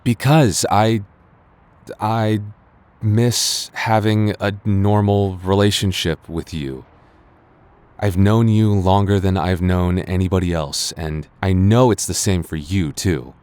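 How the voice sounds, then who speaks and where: A man playing a stubborn young guy with a low, deep voice, confessing to his childhood friend in a romantic audio roleplay. He is earnest and regretful, stumbling and sighing through his words. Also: fairly even intonation, a little mellow, smooth. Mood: tender, confessing. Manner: halting, stammering, sighing, earnest. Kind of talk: intimate boyfriend roleplay audio drama.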